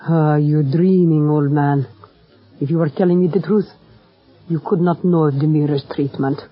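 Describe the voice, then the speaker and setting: An actress playing a proud, impatient opera singer in a 1940s radio drama, with a haughty, dismissive manner. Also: female voice, deep voice, steady tone, normal speed. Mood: impatient, sceptical. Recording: muffled vintage radio, faint music.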